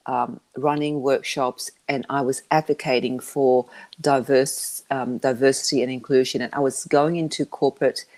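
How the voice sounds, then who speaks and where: A woman who learned English as a second language, answering interview questions about her business in a halting way, with many restarts. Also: rumbling low, steady tone, darkish tone. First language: not English. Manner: halting, with frequent restarts and fillers. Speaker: interview guest, media business founder.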